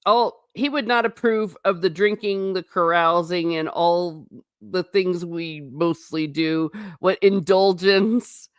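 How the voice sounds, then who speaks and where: A woman's voice: fairly low pitch, steady tone, slow pace, clean tone, no room sound. Chatty woman hosting a dry-sounding livestream. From United States. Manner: chatty, wry and tangential, with frequent restarts. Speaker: livestream host reading chat comments. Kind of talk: casual chat.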